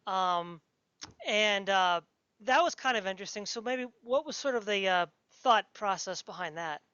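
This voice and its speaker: A woman's voice: medium pitch, normal speed, a bit bright, clean tone, dry recording. A woman hosting a casual interview, asking and commenting in tentative, meandering phrases. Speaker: podcast interviewer. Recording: dry but dull, lo-fi audio.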